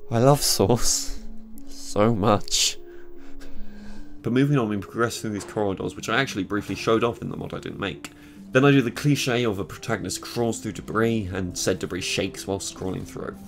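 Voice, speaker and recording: A man's voice: lowish voice, moderate pace, soft background music. An eighteen-year-old man with a British accent, narrating his game-map devlog in a sarcastic, self-mocking way, filmed in a small bedroom with a desk mic. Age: late teens. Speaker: game mod creator. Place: small bedroom with purple light.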